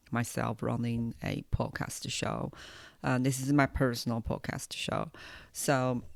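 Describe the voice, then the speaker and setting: Low-pitched Taiwanese woman podcaster, unhurried. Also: vocal fry, dry recording. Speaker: podcast host, hypnotherapist. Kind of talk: unscripted personal podcast monologue.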